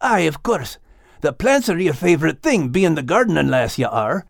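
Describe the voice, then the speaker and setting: Children's audiobook: woman as brisk, protective male Scottish dog, plainspoken and hearty, lively intonation. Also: low-pitched, gravelly, no room sound, clean studio sound, voice pushed up, heavily acted. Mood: fond.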